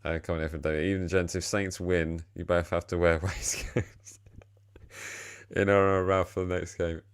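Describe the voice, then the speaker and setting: A man's voice: low-pitched, flat delivery, moderate pace, clean tone, no echo, studio quality. A tired man hosting a casual football chat, rambling and self-deprecating. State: tired, slightly deeper voice than usual. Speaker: podcast host.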